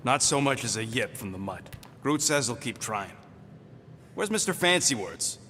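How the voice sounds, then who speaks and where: A man's voice: mid-range voice, very animated, moderate pace, pushed and bright, long reverb tail, faint music behind. A man voicing a brash, cranky small creature in a video game, a streetwise mercenary with snide, slangy delivery. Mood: grumbling. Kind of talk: game cutscene voice acting.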